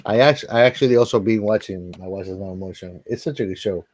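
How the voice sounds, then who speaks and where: A man's voice: fairly low pitch, fast talker, darkish tone. A man co-hosting a podcast, chatting casually in a jokey, teasing way. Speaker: podcast co-host. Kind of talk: casual chat.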